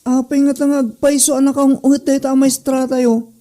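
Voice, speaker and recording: Woman acting high, mellow cheeky schoolboy Joel. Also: level tone, normal speed, natural voice. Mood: mischievous. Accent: Ilocano. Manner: teasing, mischievous. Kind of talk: comedy drama acting.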